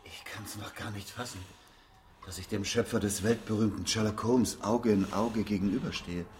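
An actor playing a politely persistent, ingratiating journalist visitor in a radio drama, deferential and fawning. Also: male voice, lowish voice, steady tone, normal speed, a little mellow, slight background noise, lightly acted. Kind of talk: German radio drama acting.

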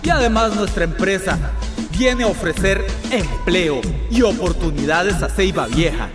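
An actor playing a smug, condescending company manager in a radio soap opera, self-important and dismissive. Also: male voice, high voice, expressive, measured pace, echoey room, over music. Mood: smooth public pitch.

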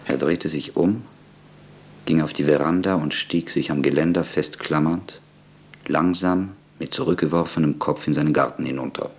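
Very deep-voiced male narrator. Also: steady tone, normal speed, breathy edge. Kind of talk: scripted literary narration in a radio drama.

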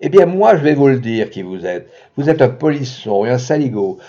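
A man voicing a furious jealous man who shouts insults, on a dull lo-fi recording. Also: on the low side, very animated, normal speed, vocal fry, full character voice. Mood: raging. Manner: shouting, blustering.

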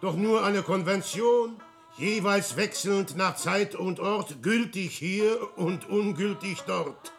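An actor playing a boastful, lecherous adventurer posing as a prophet in a verse radio play, declaiming theatrically between musing and crowing. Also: male voice, high-pitched, slow, vocal fry, soft background music, full character voice. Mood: lecherous, wheedling.

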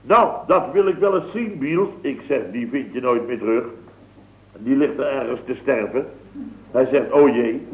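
A man's voice: medium pitch, moderate pace, slight background noise, natural voice. A man playing a boastful, rambling contractor in a 1960s radio comedy. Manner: rambling, boastful, full of tag words. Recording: tinny, echoey old radio broadcast.

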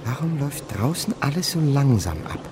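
An actor playing a timid, wondering young companion of a naturalist in a German radio play, speaking in a naive, anxious way. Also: male voice, medium pitch, big pitch swings, a little fast, noisy background, close to an everyday voice.

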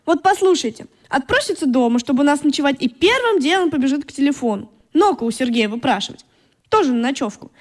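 A fondly exasperated female character in a radio play. Her voice is high. She talks in a teasing, long-suffering way. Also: very animated, fast. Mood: fond exasperation.

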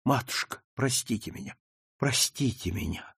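A man's voice: a little high, expressive, unhurried, no echo, studio quality. A man voicing an anguished young daughter who pleads with her mother, speaking in an impassioned, rising way in an audiobook reading. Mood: contrite.

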